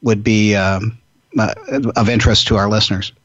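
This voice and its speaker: A man hosting an interview, with a fairly low voice. He works toward his questions haltingly, restarting phrases. The recording is dull and lo-fi, with no room sound. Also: little pitch movement, a bit bright, dry recording. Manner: hesitant, restarts sentences. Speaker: podcast host. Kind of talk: interview conversation.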